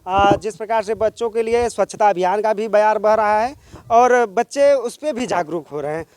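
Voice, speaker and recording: Man, village news reporter, high voice, formal flowery delivery, outdoor school. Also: moderate pace, a little mellow, no echo.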